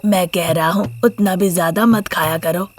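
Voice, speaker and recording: Woman voicing a low-toned, measured older father-in-law. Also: steady tone, faint music behind, close to an everyday voice. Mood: reproachful, calm. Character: gentle older father-in-law, weary and protective. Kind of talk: Hindi moral story character voice.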